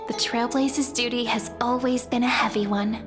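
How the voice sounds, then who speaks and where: Woman acting a high-voiced, gentle young game heroine. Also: steady tone, moderate pace, over music, lightly acted. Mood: earnest, reassuring. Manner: earnest, softly encouraging, lightly teasing. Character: gentle hopeful young woman, devoted companion. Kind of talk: scripted video game dialogue.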